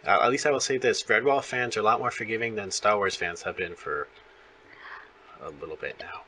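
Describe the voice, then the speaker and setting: A man's voice: lowish voice, brisk, pushed and bright, a little hiss or hum. A man interviewing in a casual, chatty and enthusiastic fan-to-fan way. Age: adult parent of young children.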